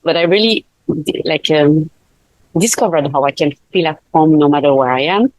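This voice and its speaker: Low-voiced woman, laughing easily. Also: lively intonation, moderate pace, a little mellow, no echo, rough recording. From Switzerland. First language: not English. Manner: laughs often, many fillers and restarts. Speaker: guest, life coach. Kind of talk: casual interview chat.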